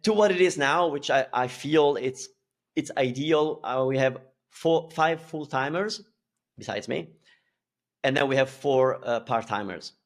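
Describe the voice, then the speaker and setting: A man speaking in a relaxed, easygoing way as a guest in a casual interview, often restarting his sentences. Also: medium pitch, a little slow. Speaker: guest, language school founder.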